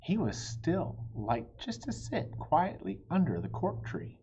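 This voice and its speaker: A man reading a children's story aloud in an expressive, dramatic way, addressing young listeners, with a breathy voice that often drops toward a hush. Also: on the high side, takes it slowly, slight background noise. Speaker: storybook reader. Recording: dull, muffled lo-fi audio.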